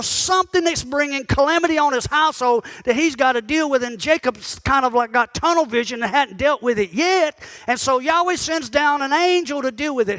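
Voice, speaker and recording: High, bright-voiced preaching man. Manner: conversational preaching that calls out to the congregation; asks the listeners pointed questions. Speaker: pastor. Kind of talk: sermon teaching.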